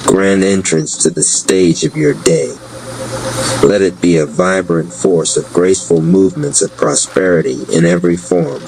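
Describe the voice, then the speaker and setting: Very deep, slow-paced male narrator. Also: gravelly, noisy background. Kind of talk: scripted audio drama narration with repeated donation plugs.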